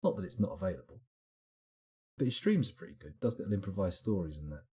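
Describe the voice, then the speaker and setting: A man's voice: low voice, very animated, quick pace, warm tone. A man, chatting and rambling while he streams a game late at night and answers his chat, sounding tired. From England. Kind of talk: casual live-stream chat.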